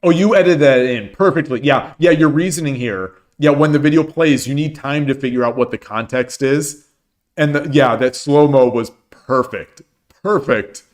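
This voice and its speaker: Low-key man thinking aloud while playing. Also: normal speed. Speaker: streamer playing online shogi. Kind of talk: casual live-stream commentary.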